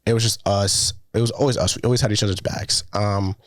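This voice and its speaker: Young man, relaxed rambling talk. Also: on the low side, fairly quick, no echo, studio quality. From Dominican Republic. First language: Spanish. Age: young adult. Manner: relaxed, rambling, many "like" fillers. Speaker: student filmmaker guest. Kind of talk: casual conversation.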